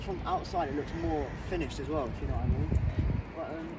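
A man's voice: a little high, steady tone, fairly quick. A young man from London giving blunt, chatty ratings of football grounds while walking outdoors, filmed on a phone camera. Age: young adult. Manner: chatty, blunt, filler-heavy rating talk. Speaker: vlogger. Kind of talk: casual vlog commentary. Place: outdoors on city streets by stadiums. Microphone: phone camera, selfie and handheld. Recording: loud outdoor street noise.

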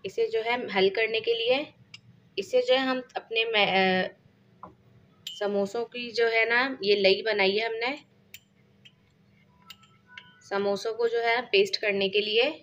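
Woman cooking-channel host, Pakistani Urdu accent, bright forceful voice, slightly dull lo-fi home recording, chatty step-by-step delivery. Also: on the high side, fairly even intonation, takes it slowly, long pauses. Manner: chatty step-by-step explaining, frequent filler phrases. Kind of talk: casual cooking demonstration. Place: home kitchen.

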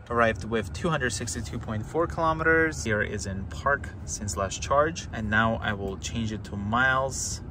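Young man, casual explainer, mid-pitched voice, handheld phone recording, clear car and street noise behind. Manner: chatty, explanatory, thinks aloud with fillers. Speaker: car channel host. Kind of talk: casual walkthrough vlog. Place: inside a parked car, later outdoors in a winter parking lot. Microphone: phone camera at arm's length. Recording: noisy car and street background.

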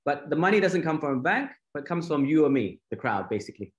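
Middle-aged man, panelist on a video call; halting, filler-heavy explanation. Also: fairly high pitch, very animated. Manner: halting, many fillers and repeated words. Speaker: fintech founder and panelist. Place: home office on a video call.

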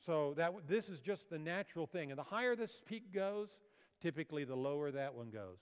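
A man's voice: on the high side, lively intonation, fairly quick, clean tone. An older man giving a health seminar lecture on a church auditorium stage, explaining slides and asking the audience questions. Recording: long hall reverb.

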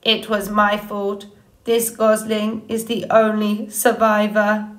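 A woman's voice: mid-range voice, monotone, natural voice, rough recording. A woman playing a friendly female robot in an audiobook story, speaking with formal, earnest politeness. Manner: friendly, measured, formal without contractions.

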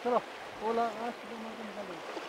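Guy with a really high voice tossing in short offhand comments, noisy river sound all around. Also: steady tone, brisk. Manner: brief offhand remarks. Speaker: fishing companion. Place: outdoors by a river.

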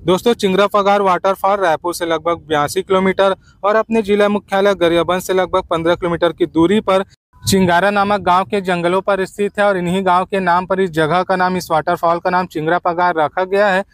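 A man's voice: sits high, level tone, fairly quick, no room sound. A man from Chhattisgarh in India, narrating a scripted travel guide and addressing viewers directly as friends.

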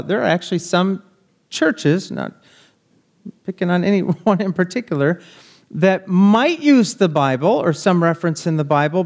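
A man in his thirties preaches from the pulpit of a church sanctuary, his voice sitting a little high for a man. He reads scripture aloud in measured phrases, then turns to plain, conversational explanation, recorded through a pulpit microphone. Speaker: pastor. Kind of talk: sermon. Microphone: pulpit microphone, filmed by a far camera.

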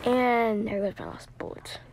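A woman doing amateur voice acting for an animated story, with dramatic, drawn-out line readings. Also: fairly high pitch, gravelly.

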